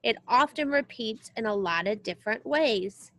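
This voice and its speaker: A woman reading a children's music book aloud as a teacher, expressive and explanatory. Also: a little high, moderate pace. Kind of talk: read-aloud lesson for children.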